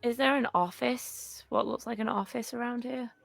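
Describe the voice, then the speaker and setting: A woman's voice: a little high, steady tone, normal speed, vocal fry, no echo. A woman chatting casually as a player in a tabletop role-playing game.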